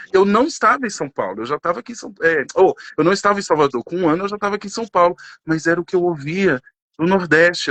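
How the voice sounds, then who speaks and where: A Brazilian man in his thirties talks with feeling and a fairly high voice. He is expressive and jumps between stories. The sound is close and dry. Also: dry recording. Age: 30s. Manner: emotional, expressive, sings snatches of songs. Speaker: panel guest. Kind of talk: casual conversation.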